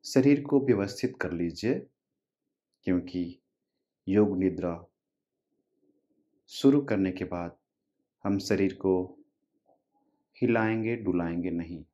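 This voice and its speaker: A man guiding a relaxation meditation as a yoga instructor, giving short instructions with long pauses, in a dull recording. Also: low-pitched, warm tone.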